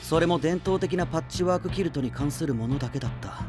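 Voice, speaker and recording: An actor playing a calm, clever young male knight in an anime-style voice drama, cool, confident and lightly mocking. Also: on the high side, quick pace, over music, close to an everyday voice. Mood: confident, explaining.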